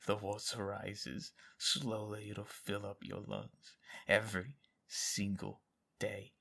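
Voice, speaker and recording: An actor playing a haunted, loyal soldier who survived captivity, speaking in a halting, confessional way in an audio drama. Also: male voice, fairly low pitch, vocal fry, pushed and bright, slightly rough, heavily acted. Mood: anguished.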